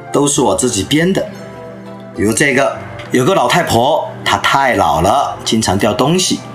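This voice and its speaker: Animated male storyteller giving a lecture. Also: lowish voice. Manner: lively storyteller who acts out anecdotes.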